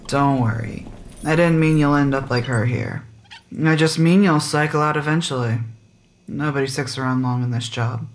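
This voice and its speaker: A small-town sheriff in an audio drama, tired and wry. She speaks slowly in a low register, joking dryly and teasing. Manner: dry, unhurried, joking.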